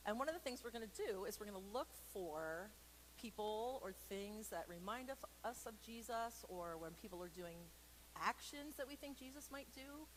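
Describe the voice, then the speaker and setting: A woman's voice: fairly high pitch, low-quality recording. A woman leading an informal children's storytime for a church, playful and extroverted, chatting in rambling, self-deprecating asides. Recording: noticeable background noise.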